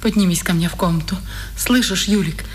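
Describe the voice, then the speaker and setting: An actress playing a tender, protective young wife, gentle and guarded, in a 1950s Soviet radio drama. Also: female voice, on the low side, fairly quick, natural voice. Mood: anxious, guarded.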